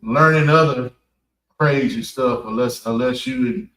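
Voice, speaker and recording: An American man giving an off-the-cuff religious address, halting and repeating phrases for emphasis. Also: mid-range voice, fairly bright tone. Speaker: preacher giving a public address at a mosque. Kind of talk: extemporaneous religious talk. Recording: echoey room, distant mic.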